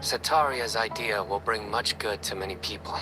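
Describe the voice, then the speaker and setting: Low, deadpan stern enforcer played by a man. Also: moderate pace, lightly acted, poor audio. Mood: stoic. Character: stern, reserved young male enforcer, calm and matter-of-fact. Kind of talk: video game voice acting. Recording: game music under the voice.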